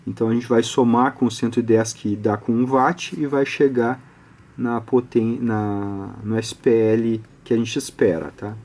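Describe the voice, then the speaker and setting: A Brazilian Portuguese-speaking man with a slightly rough, husky voice at an ordinary male pitch. He explains in an informal, step-by-step tutorial style, conversational and punctuated by frequent little check-in tags. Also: fairly even intonation, measured pace, darkish tone. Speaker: audio electronics instructor, channel host.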